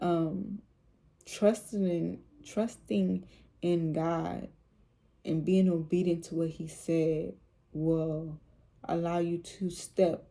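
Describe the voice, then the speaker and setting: A woman talks about faith in a casual vlog. Her voice is low and soothing, with a gravelly edge and a very dark, mellow tone. She rambles, repeats herself and fills pauses with "um" and "like.". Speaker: vlogger.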